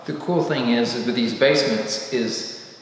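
A middle-aged man explaining building details in a casual, animated way, with fillers and restarts, in a large empty basement room. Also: mid-range voice, measured pace, heavy reverb, in a large hall, poor audio. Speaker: home builder.

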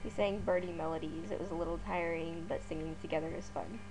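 A woman's voice: lowish voice, steady tone, fast. A woman with a stuffy cold, chatting casually as she plays a visual novel, reading lines aloud between asides. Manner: reads game text aloud, chatty asides, frequent laughs. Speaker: let's play gamer. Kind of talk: casual gameplay commentary. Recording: mains hum in background.

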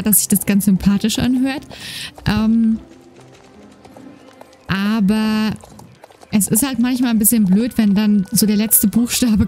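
Give me a woman gaming on a desk mic, warm and chatty, with a slight lisp. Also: medium pitch. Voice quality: slight lisp, soft trailing S sounds. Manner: chatty, rambling, many fillers. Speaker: gaming YouTuber. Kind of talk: casual let's-play commentary. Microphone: desk microphone with noise gate. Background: game music and horse hoofbeats.

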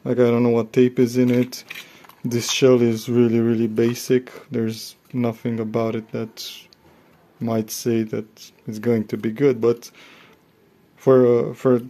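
A man giving a casual, unscripted hobbyist review, thinking aloud in a halting way. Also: mid-range voice, level tone, dark tone. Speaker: hobbyist reviewer of cassette tapes.

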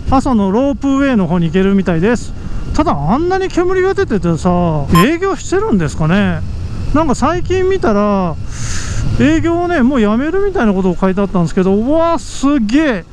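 Very high-voiced man, motorcycle vlogger. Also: big pitch swings, a little fast. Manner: exclamatory, easily amazed, talking to himself. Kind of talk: casual riding commentary. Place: outdoors on a moving motorcycle. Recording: faint road and engine noise. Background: vehicle and outdoor road noise.

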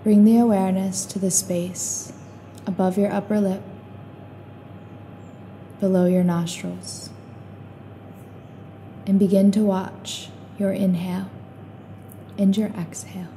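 A woman guiding a meditation in a calm, soothing, unhurried way, leaving long pauses between short instructions. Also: mid-range voice, slight background noise. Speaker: meditation guide.

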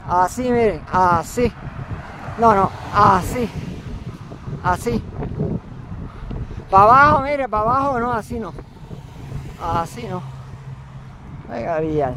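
A man's voice: high-pitched, lively intonation, noisy background. Rambling Puerto Rican man outdoors. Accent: Puerto Rican Spanish. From Puerto Rico. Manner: rambling, halting, with many fillers and restarts. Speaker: vlogger. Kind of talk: casual walking vlog. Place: outdoors, walking.

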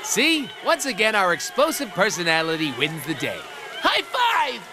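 An actor playing a cocky, foul-mouthed antihero mercenary in a video game, brash and sarcastic. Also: male voice, high voice, wildly swooping pitch, moderate pace, crowd noise, background noise. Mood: smug. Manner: brash, sarcastic, exaggerated swooping delivery. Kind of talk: video game cutscene acting. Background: music.

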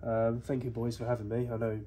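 A man's voice: medium pitch, monotone, fairly quick, mellow. A man with a stuffy nose from a cold, chatting casually as a visiting vlogger and laughing between remarks. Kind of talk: casual chat. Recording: background noise.

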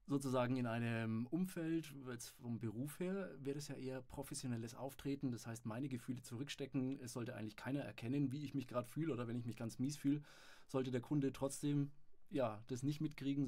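A man hosting a casual podcast interview, friendly and quick to laugh. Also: medium pitch, steady tone, dark tone.

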